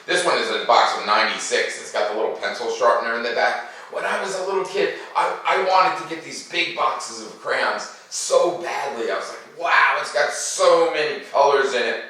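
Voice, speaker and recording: A man talking to children like a school teacher, playful and animated, explaining things in simple words. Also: medium pitch, moderate pace, hardly any pauses, recorded from a distance. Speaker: school teacher hosting a read-aloud for children. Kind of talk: chatty talk to children, then storybook read-aloud. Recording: very echoey room, away from the mic.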